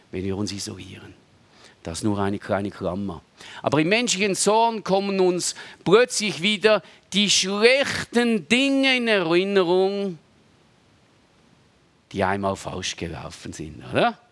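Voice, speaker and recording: A man preaching a sermon in a conversational way, often checking for the listeners' agreement and dropping into soft asides. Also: a little high. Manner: conversational, seeks agreement with frequent rhetorical tags, dips to soft asides.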